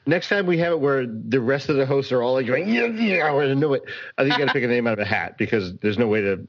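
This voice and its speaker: A man's voice: medium pitch, lively intonation, fast. Rambling man joking on a podcast. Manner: rambling, self-deprecating, many restarts. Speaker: podcast co-host and player. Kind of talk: casual podcast game chat. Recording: muffled lo-fi audio.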